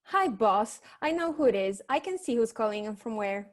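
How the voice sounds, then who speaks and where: A woman playing a knowing, brisk assistant to a detective in a comic noir radio serial, dry and eager. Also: fairly high pitch, expressive, lightly acted.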